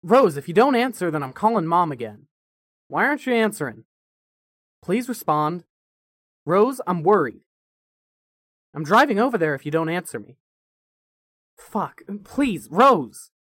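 An actor playing an anxious, blunt brother who swears and grows frantic with worry in a fan fiction audio drama. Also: male voice, sits high, sing-song, a little slow, long pauses, a bit bright, no room sound, clean studio sound, full character voice. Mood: worried, agitated. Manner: urgent, agitated, blunt.

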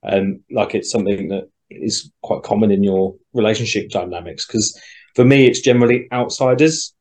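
A young man with a fairly low voice, chatting in a relaxed group discussion. He thinks out loud and drops in little quoted asides as he goes. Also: moderate pace. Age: young adult. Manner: reflective, talks around his point with quoted asides. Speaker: podcast co-host.

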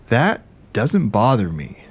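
An actor playing an aggrieved, self-dramatizing ghostwriter in a conversational, wry, indignant audio drama monologue. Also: male voice, mid-range voice, wildly swooping pitch, slow pace, husky, heavily acted. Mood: confessional, bitter. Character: aggrieved, self-dramatizing male ghostwriter telling his grievances. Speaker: narrator and protagonist.

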